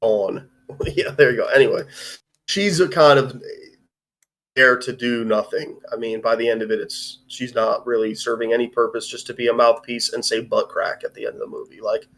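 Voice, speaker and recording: A man's voice: medium pitch, fairly even intonation, moderate pace, fairly bright tone. A man hosting a live-streamed film discussion in an informal, rambling way with some swearing. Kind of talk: casual chat, film review.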